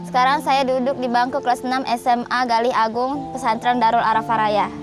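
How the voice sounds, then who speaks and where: A young woman from the Riau Islands speaks in a notably high voice. She delivers her phrases at an unhurried, measured pace. Music plays clearly under her words. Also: level tone. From Riau Islands, Indonesia. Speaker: graduating Quran memorization student. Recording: background music under speech.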